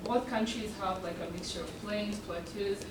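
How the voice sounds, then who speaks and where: A woman's voice: mid-range voice, steady tone, moderate pace. A young woman who grew up in Uganda and was born in South Sudan, giving an earnest student presentation with frequent ums. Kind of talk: presentation talk. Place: event room. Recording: echoey room from far off, with background noise.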